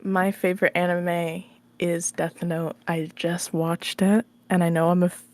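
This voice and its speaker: A woman's voice: lowish voice, level tone, measured pace, darkish tone, no room sound. A woman speaking casually as a podcast guest, with a playful, giggly way of talking. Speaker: podcast guest, ASMR roleplay creator. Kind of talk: casual chat.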